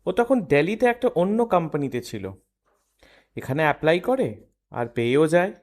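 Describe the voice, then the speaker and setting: An actor playing a friendly young engineer in an audio drama, speaking conversationally. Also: male voice, on the high side, very animated, normal speed. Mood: explaining.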